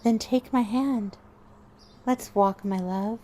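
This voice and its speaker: Woman as a mellow, loving ghostly wife. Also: mid-range voice, slow pace, close to an everyday voice. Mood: coaxing. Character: dead wife's ghost, loving, serene and eerily persuasive. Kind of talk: horror audio drama acting.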